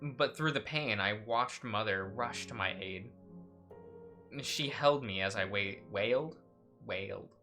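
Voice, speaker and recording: A man's voice: lowish voice, a little slow, pushed and bright, soft background music. A young man hosting a let's play, chatting casually, laughing often, and reading game text aloud with frequent stumbles.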